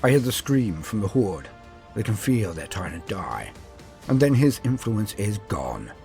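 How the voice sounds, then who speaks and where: A man's voice: on the low side, very animated, unhurried, darkish tone, soft background music. A man narrating a scripted story as a host, in a theatrical, dramatic way. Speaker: narrator and host of a lore channel.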